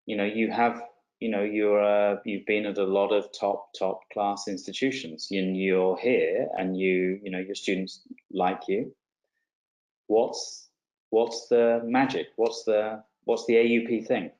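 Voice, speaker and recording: A man's voice: lowish voice, steady tone, measured pace, a little mellow, echoey room, poor audio. A man hosting a webinar interview, putting casual questions with agreeable, hesitant phrasing.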